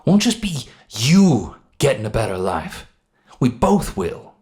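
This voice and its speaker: A man's voice: mid-range voice, sing-song, darkish tone, voice pushed up. Man acting out an anguished, guilt-ridden criminal, voice raised. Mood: bitter, pleading. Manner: emotional, halting, pleading monologue. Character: anguished, guilt-ridden male criminal grieving his brother. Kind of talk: dramatic monologue in an audio story.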